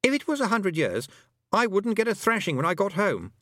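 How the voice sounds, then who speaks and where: A man voicing a haughty yet anxious twelve-year-old lost boy in a storybook, emphatic and excitable. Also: sits high, brisk, no echo, clean studio sound, voice pushed up. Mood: anxious, agitated.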